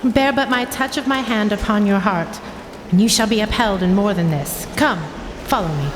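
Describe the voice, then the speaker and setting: A woman playing a solemn, commanding spirit in a radio drama, speaking in a stately, formal, deliberate way. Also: medium pitch, noisy background, lightly acted. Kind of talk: theatrical radio drama acting. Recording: long cavernous reverb. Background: music.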